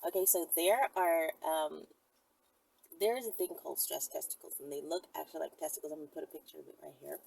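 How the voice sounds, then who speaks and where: A woman's voice: low-pitched, fairly even intonation, fast, creaky, darkish tone, slightly rough, poor audio. A woman with a Bahamian accent chatting casually and bluntly to her viewers as host of her own channel, in informal language. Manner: blunt, informal, sprinkled with swearing. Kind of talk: casual, candid advice chat to viewers.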